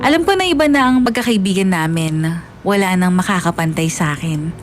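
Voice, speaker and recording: A woman playing a lovestruck young woman who narrates her high school crush in a confiding, girlish way for a radio drama. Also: expressive, brisk, faint music behind, close to an everyday voice. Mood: pleased.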